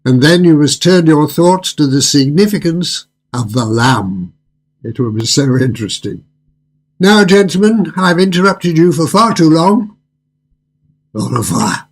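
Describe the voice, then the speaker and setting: A man playing a courtly, enigmatic restaurateur in a radio drama, speaking formally and cryptically in a husky voice. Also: on the high side, expressive. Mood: ominous, courtly. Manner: formal, cryptic, measured phrasing. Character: courtly, ominous male restaurateur, enigmatic and philosophical. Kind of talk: fan-made radio drama acting.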